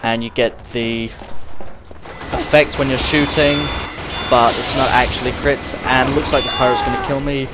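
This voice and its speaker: Croaky young man chatting over gameplay. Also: medium pitch, level tone, normal speed. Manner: rambling, chatty, talks straight to the viewer. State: slightly croaky and sniffly. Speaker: gamer recording gameplay commentary. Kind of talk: casual gameplay commentary. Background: game music and sounds.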